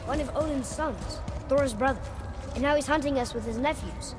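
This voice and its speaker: A man's voice: very high voice, normal speed, noisy background. A young male actor playing an eager, curious young boy in a video game, chatty and earnest. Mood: chatty, thoughtful. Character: eager, curious young boy travelling with his stern father. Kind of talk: video game cutscene acting. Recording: loud music behind the voice.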